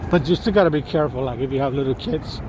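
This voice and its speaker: A man's voice: mid-range voice, fast. Man narrating a riverside city walk. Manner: enthusiastic, repeats words, restarts phrases. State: slightly hoarse, coughing. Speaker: vlogger filming a city walk. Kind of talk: casual walking commentary. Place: outdoors on a city riverside walkway. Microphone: handheld camera or phone while walking. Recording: outdoor city noise behind voice. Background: street noise, sirens, boats.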